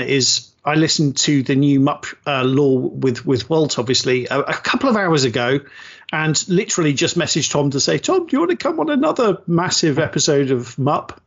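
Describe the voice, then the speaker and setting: A man hosting a podcast with a slightly high voice, upbeat and rambling, often laughing at his own slip-ups. The sound is dull and lo-fi, like a remote call mic. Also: animated, normal speed. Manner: upbeat, rambling, self-deprecating, says super a lot. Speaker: podcast host. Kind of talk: casual chat.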